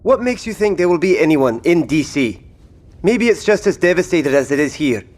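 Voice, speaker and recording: A man's voice: a little high, very animated, moderate pace, darkish tone. A man playing a plainspoken, wary apocalypse survivor in an audio drama, with a blunt, dry delivery. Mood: worried, argumentative.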